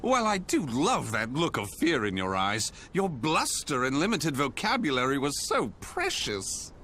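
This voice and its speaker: An actor playing a theatrical, sadistic video game villain who grandly professes love for humanity, with a flamboyant, drawn-out delivery. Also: male voice, mid-range voice, huge pitch swings, pushed and bright, a little hiss or hum, exaggerated voice. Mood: mocking delight.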